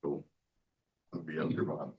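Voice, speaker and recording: A man organizing the event, casually helping the speaker set up on stage with quick offhand remarks. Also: very deep voice, level tone, breathy edge, lo-fi sound. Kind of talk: casual stage setup chat.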